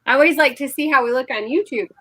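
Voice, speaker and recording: A woman co-hosting a live crochet show over a video call, chatting in an unhurried, giggly way and greeting viewers. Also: high voice, a bit bright, no room sound. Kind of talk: casual chat on a livestream.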